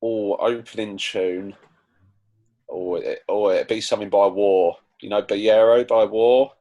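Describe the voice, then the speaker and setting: A British man with a deep, low voice talks casually as an interviewed musician. His answers are informal and rambling, with a hesitant way of getting to the point. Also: fairly even intonation, a little slow, mellow, lo-fi sound. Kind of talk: casual chat.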